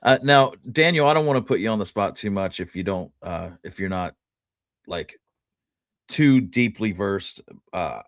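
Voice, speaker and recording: A man's voice: fairly low pitch, lively intonation, moderate pace, no echo, clean studio sound. A man hosting a casual conversation, speaking informally with loose, conversational phrasing. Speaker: host. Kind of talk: casual interview chat.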